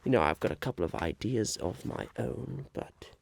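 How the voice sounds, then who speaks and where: A man playing a polite, harried would-be businessman chairing a meeting in a soft-spoken, halting ASMR roleplay. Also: medium pitch, expressive, gravelly, husky, full character voice. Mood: patient, bemused. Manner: hesitant, halting, politely patient.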